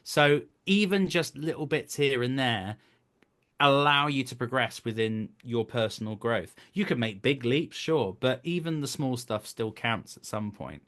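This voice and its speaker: A man in his thirties talks in a relaxed interview format, with a mid-pitched voice. He explains his coaching work earnestly and slips into quoting imagined speakers to illustrate. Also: unhurried. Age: 30s. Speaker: voice actor, director and coach. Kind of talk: conversational interview.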